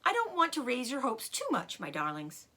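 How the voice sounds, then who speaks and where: A woman voicing a clever, excitable and loving father fox in a storybook, with proud exclamations, commands and cautious hushes. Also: on the high side, normal speed, voice pushed up, full character voice. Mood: earnest, careful.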